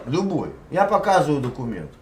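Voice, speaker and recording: Brief: man, host type, somewhat high voice with a very lively pitch, lecturing with scorn and swearing. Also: a little fast, slight background noise. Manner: lectures loudly, scornful, repeats demands. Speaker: channel host arguing with a stranger. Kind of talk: heated argument, swearing.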